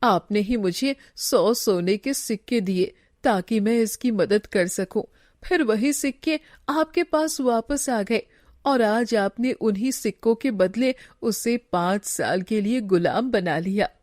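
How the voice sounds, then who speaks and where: A woman voicing a sly, flattering villain's accomplice in a cartoon story, with an inquisitive manner. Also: mid-range voice, unhurried, no echo, clean studio sound, lightly acted. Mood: admiring, sly.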